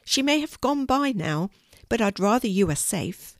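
A woman voicing a big, kind, old female sheepdog who speaks gently. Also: medium pitch, very animated, normal speed, dry recording, studio quality, voice pushed up. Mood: gentle, kind.

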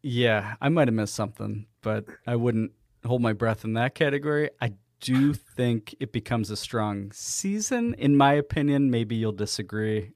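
A man co-hosting a casual podcast, talking in an easygoing, drawn-out way with some swearing. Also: mid-range voice, darkish tone, no room sound, studio quality. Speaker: podcast co-host. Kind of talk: casual chat.

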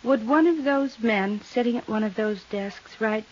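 An actress playing a haunted, unstable young widow with deliberate, intense delivery and loaded pauses in a 1950s radio drama. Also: female voice, a little high, darkish tone, lightly acted. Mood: brittle, intense. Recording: muffled, hissy vintage radio recording.

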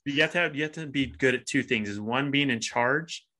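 A man in his thirties co-hosting a podcast, chatting casually, animated and quick to laugh. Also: medium pitch. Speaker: podcast co-host. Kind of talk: casual chat.

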